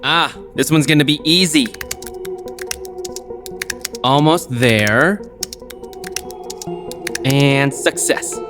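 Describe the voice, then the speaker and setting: A man acting in an audio drama as a cocky, confident high school boy who hacks computers, casual and self-assured. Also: extremely slow, pauses often, music in the background. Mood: smug, focused. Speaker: voice actor.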